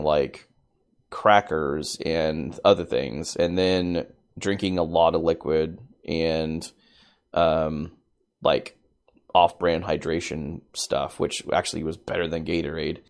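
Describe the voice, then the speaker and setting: A man's voice: low voice, slow pace, darkish tone. A man co-hosting a casual podcast, laid-back and blunt, laughing often, his voice still thick from a recent illness. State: recovering from illness, sounds like a cold. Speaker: podcast co-host.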